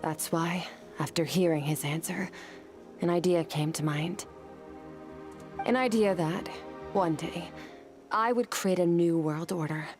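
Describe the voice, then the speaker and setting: A woman playing a stoic young swordswoman in a video game, somber and driven, speaking in a grave, measured way. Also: lowish voice, music in the background, lightly acted. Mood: solemn, resolute. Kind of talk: scripted video game voice acting.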